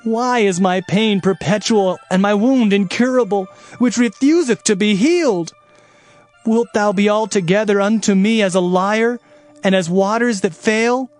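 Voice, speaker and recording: A man's voice: sits high, a little slow, darkish tone. An actor playing an earnest, anguished male prophet, speaking solemnly and plaintively in a dramatized scripture reading. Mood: anguished pleading. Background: music.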